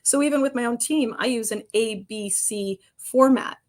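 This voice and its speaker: A woman's voice: a little high, normal speed, darkish tone. A woman guest on a construction podcast, with a commanding voice, speaking emphatically and laughing lightly between points. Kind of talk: podcast interview answers.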